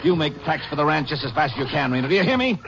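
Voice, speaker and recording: Middle-aged rancher dad, gruff but decent, lively swings in pitch, dull hissy vintage radio sound. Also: male voice, racing. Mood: angry. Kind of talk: 1950s radio western acting. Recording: dull, hissy old radio broadcast recording.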